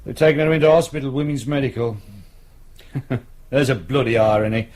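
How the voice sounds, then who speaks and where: An actor playing a brusque, sarcastic senior British police detective in a radio drama. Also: male voice, medium pitch, fairly even intonation, normal speed, a little mellow, lightly acted. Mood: weary, irritated.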